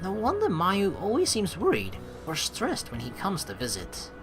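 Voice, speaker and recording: A woman's voice: medium pitch, wildly swooping pitch, normal speed. A woman hosting a casual let's play, playfully reading game narration and rambling through her own commentary. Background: game background music.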